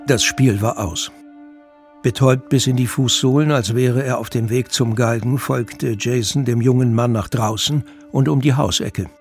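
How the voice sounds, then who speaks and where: A man's voice: medium pitch, steady tone, moderate pace, soft background music. A man narrating a scripted radio drama.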